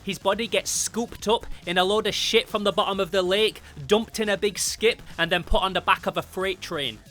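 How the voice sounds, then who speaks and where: A man's voice: high voice, normal speed, fairly bright tone. A British man narrating a comic review in an informal, slangy, sweary and emphatic way. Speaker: host of a comic book review channel. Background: music.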